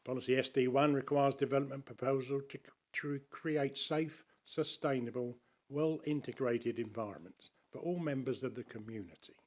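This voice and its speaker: A man reads a prepared objection to a committee in a council chamber, measured and formal. He slips in a few dry asides. His pitch sits in an ordinary middle range. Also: darkish tone. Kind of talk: reading a prepared statement to a committee.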